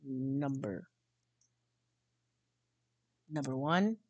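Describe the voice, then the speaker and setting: A woman hosting a casual software tutorial, speaking in a halting, drawn-out way. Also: a bass voice, no room sound, muffled recording. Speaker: tutorial host.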